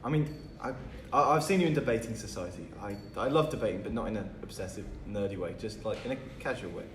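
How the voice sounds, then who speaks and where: A man's voice: on the low side, fast, lightly acted. A man acts an awkward, insecure teenage boy with a hesitant, defensive way of talking. It is a read-through of a stage play, recorded at a distance in an echoey room with faint noise. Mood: nervous, stammering. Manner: hesitant, stammering, nervous.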